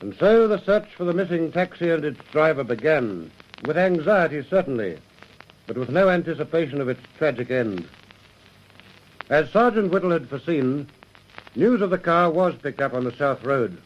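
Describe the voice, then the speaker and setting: An actor as the grave narrator of a radio crime drama. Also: male voice, fairly high pitch, big pitch swings, normal speed. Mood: grave, suspenseful. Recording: muffled old radio recording, faint noise.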